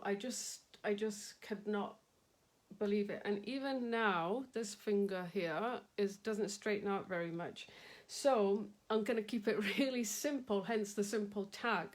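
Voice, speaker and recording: A woman's voice: mid-range voice, darkish tone. A middle-aged woman talks to her viewers in a loose, rambling way, with a slightly nasal voice. She laughs easily and greets people by name as she hosts a craft stream. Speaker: craft livestream host. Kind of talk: casual live-stream chat.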